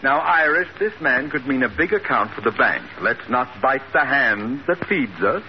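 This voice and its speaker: An actor playing a pompous, blustering older bank boss with an emphatic old radio sitcom delivery, on a muffled vintage recording. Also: male voice, medium pitch, very animated, moderate pace, a little hiss or hum, natural voice. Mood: businesslike, eager. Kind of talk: 1950s radio sitcom acting.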